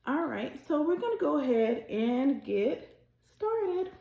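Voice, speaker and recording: Slow-paced woman reading to children, roomy sound. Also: sits high, very animated, creaky, highs cut off. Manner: deliberate, addressing young children directly. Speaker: host of a children's read-aloud show. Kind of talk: children's storybook read-aloud.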